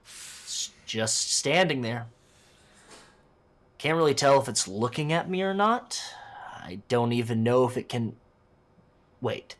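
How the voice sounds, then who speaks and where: Man playing a slow-talking, anxious agent. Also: mid-range voice, animated. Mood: wary narration. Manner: hesitant, flustered, muttering, throat-clearing. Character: anxious, underprepared male agent interviewing dangerous entities. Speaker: field interviewer. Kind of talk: scripted audio drama dialogue.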